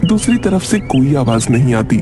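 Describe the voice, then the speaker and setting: A man's voice: medium pitch, very animated, normal speed, music in the background. A man reading scripted story narration for a dramatic tale.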